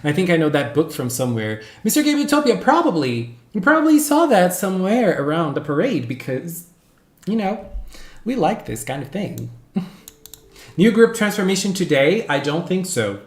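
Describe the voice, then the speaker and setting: A man whose first language is Portuguese, hosting a casual livestream and chatting with viewers in an animated, gushing way, squealing greetings. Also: high-pitched, normal speed. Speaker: livestream host. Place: home room. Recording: echoey room with faint background music.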